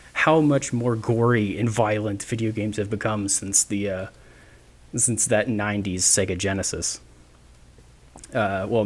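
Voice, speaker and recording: A man of twenty-nine hosting a solo podcast, talking in a rambling, self-deprecating way with many restarts. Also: lowish voice. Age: 20s. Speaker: podcast host. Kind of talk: casual solo podcast monologue.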